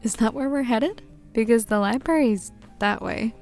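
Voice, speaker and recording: A woman acting a serious, skeptical delegate in a fan dub, speaking in a measured, frank manner. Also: fairly high pitch, darkish tone, soft background music, natural voice. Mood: reserved.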